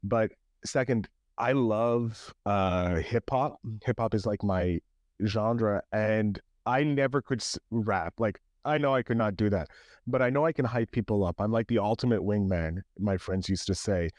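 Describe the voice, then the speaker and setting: A man's voice: lowish voice, a little slow, darkish tone, no room sound. A man hosting a podcast interview, talking casually with plenty of fillers and restarts as he hypes up his guest. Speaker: podcast host.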